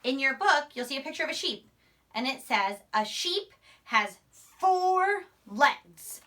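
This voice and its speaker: A woman's voice: high-pitched, expressive, slow, bright, forceful tone. A young woman teaching a phonics lesson to young children, sounding out words and letters emphatically, recorded from a few steps away. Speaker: primary school teacher. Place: small indoor room, in front of a whiteboard. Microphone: camera microphone a few steps away. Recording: voice distant from the camera mic.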